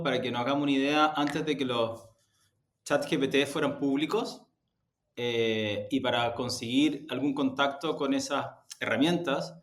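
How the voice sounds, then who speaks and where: A man with a Chilean accent giving reflective interview answers, hesitating as he searches for words. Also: medium pitch. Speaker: interview guest, audio production executive. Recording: roomy, echoey sound.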